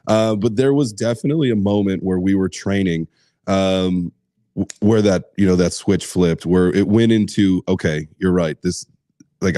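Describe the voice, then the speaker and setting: A man hosting a casual chat, hoarse from screaming, rambling with lots of fillers, restarts and swearing. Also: deep voice, dark tone, no room sound, studio quality. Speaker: host.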